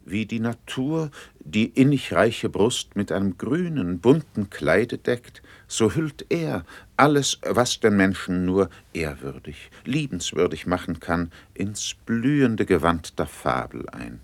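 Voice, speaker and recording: An actor playing an eloquent, self-assured courtier in a classical verse radio drama, with measured, ornate declamation. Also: male voice, lowish voice, very animated, no room sound, exaggerated voice. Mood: admiring, eloquent.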